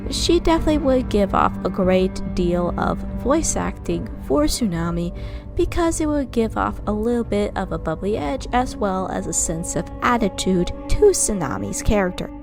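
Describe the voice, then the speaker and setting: A woman's voice: medium pitch, very animated, normal speed, vocal fry. A woman giving a casual, rambling voice-over commentary as a fan narrator, stumbling over names. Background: background music.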